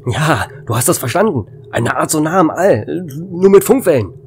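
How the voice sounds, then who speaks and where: A man's voice: on the high side, expressive, moderate pace, a little hiss or hum. A man playing a desperate, weary space station officer in an audio drama, speaking in urgent, dramatic, clipped phrases.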